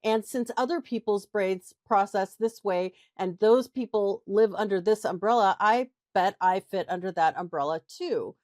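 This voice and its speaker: A woman co-hosting a podcast, laying out her points patiently and often checking in with "right?" Her pitch is medium for a woman, and the sound is dry and close with no room echo. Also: moderate pace, hardly any pauses, a little mellow. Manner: explanatory, frequent "right?" tag questions. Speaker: podcast co-host. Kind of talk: casual podcast discussion.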